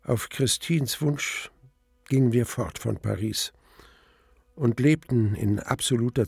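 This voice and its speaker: Grieving husband telling his story, really raspy and creaky, sombre radio play, faint music. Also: on the low side, darkish tone, heavily acted. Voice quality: husky, gravelly. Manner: slow, sombre, measured. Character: grieving nobleman recounting his tragic marriage. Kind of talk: radio drama first-person narration.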